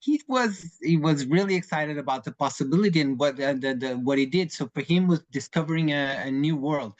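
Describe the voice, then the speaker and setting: A man's voice: fairly high pitch, a little fast, dull audio. A man in his forties from Portugal, Portuguese native, speaking English with a Portuguese accent as a lawyer in a webinar discussion, hesitant with many fillers and restarts. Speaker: lawyer advising clients.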